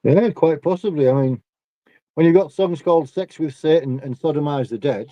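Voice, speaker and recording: A man in his forties chatting casually on a video call and talking about albums in a relaxed way. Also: fairly high pitch, normal speed, no room sound. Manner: laid-back, talks through records. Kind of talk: casual chat. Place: home room with a dark curtain behind. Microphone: webcam video call.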